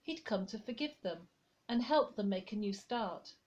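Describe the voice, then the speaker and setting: Woman reading a gentle church reflection. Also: mid-range voice, animated, moderate pace, dull audio. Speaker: lay reader.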